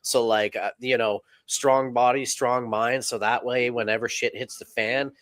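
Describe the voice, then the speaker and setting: A man co-hosting a comedy podcast, telling deadpan jokes with many fillers. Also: medium pitch, measured pace, no echo. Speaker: podcast co-host. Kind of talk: casual comedy podcast chat.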